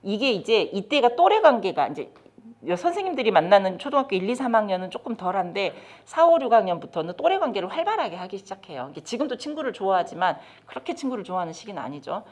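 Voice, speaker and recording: Lively Korean woman lecturing caregivers. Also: mid-range voice, quick pace. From South Korea. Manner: lively, talks straight to the audience with questions. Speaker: lecturer on child development. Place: lecture room.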